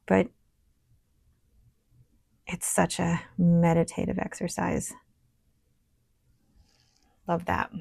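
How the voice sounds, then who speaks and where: A woman with a scratchy, hoarse voice, chatting casually as a stationery vlogger while she writes and paints. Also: on the low side, drawn-out words, pauses often. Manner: chatty, relaxed, thinking aloud while working. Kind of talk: casual show-and-tell while writing and painting.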